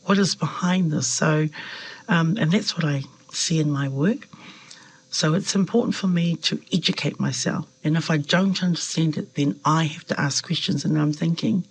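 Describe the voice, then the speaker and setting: Gravelly, husky New woman. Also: low-pitched, normal speed, rough recording. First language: Samoan. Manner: thoughtful, hesitant with fillers, animated when quoting others. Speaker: member of parliament. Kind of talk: reflective interview answers.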